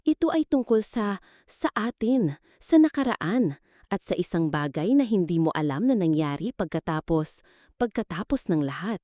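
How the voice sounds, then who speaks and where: Woman acting as anxious young filipino single mom in an audio drama, earnest n halting, mellow, very expressive. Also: fairly low pitch, dry recording, studio quality. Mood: halting, grave. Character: anxious but determined young single mother.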